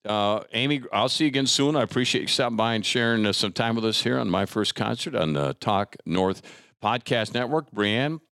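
A man with an American accent and a fairly low voice, chatting casually as a podcast host. The sound is dry and close, with little room around it. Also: fairly quick, creaky, a bit bright, clear, resonant tone, no room sound. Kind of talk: casual interview chat.

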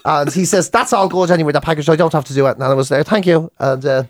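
A man's voice: fairly high pitch, fast talker, slight background noise. A middle-aged Irish man chatting casually as a podcast host, telling comic anecdotes in a mischievous, self-deprecating way.

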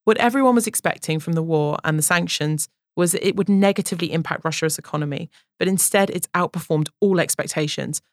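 A woman's voice: lowish voice, fast, few pauses, dry recording, studio quality. A woman hosting a news podcast, reading scripted narration between interview clips. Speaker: podcast host.

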